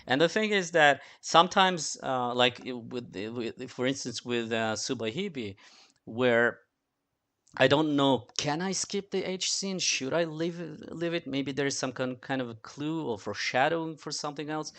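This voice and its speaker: Guy on a podcast, medium voice, talks kinda halting and casual, stops and starts. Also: mid-range voice, fairly bright tone.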